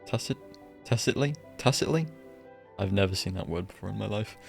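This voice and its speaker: A man streams a game with casual, low-key commentary. His voice is on the low side and gravelly with creak. Faint game music plays behind him as he muses and reads aloud. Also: fairly quick. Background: soft game music.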